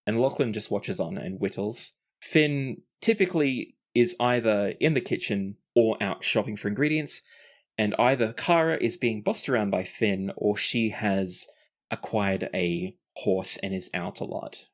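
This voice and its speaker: A man's voice: on the low side, unhurried. A man narrating a tabletop role-playing game as game master.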